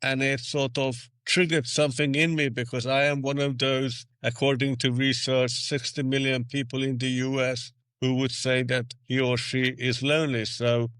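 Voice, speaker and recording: A man's voice: medium pitch, little pitch movement, moderate pace, few pauses, fairly bright tone, clear, resonant tone, dry recording. A man in his sixties, from Hungary, raised in England, answering interview questions earnestly and step by step. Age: 60s. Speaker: podcast guest.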